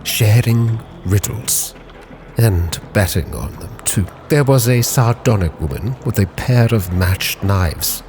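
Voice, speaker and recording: A man narrating a sea captain's log in a measured, literary, theatrical storytelling style. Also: on the low side, expressive, a little mellow, a little hiss or hum. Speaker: roleplay storyteller, channel host. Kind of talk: dramatic scripted narration. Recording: prominent background music. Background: music.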